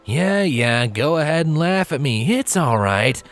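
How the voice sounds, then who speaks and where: A man's voice: on the high side, sing-song, a little slow, faint music behind, pitched above the performer's own voice. A man voicing a good-natured young male pony in a storybook, speaking with dry, resigned sarcasm. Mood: sarcastic.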